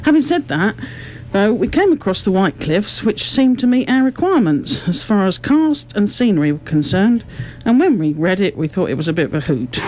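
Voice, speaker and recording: A woman speaks at a middling pitch, with some noise behind her. She explains things articulately, in the prepared manner of an amateur group member being interviewed on radio. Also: sing-song, moderate pace, a little mellow, rough recording. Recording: noticeable background noise.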